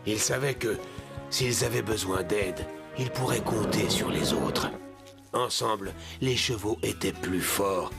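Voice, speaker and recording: Old cartoon stallion, low voice, wise, kindly, measured teaching delivery, music underneath. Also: male voice, gravelly. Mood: wise, instructive. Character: wise old male wild horse, kindly leader. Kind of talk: French cartoon dub.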